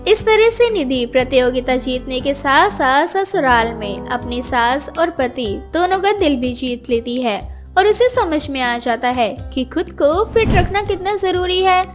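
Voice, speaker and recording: A woman's voice: sits high, animated, unhurried, hardly any pauses. A woman storyteller delivering scripted narration for a moral tale. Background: background music.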